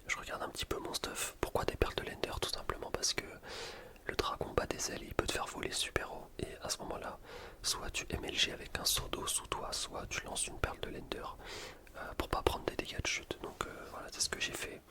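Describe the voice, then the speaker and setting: A man commentating on gameplay in a breathy, unvoiced ASMR whisper, informal and explanatory. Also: a little fast. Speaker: gaming video creator. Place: voice-over recorded separately from gameplay.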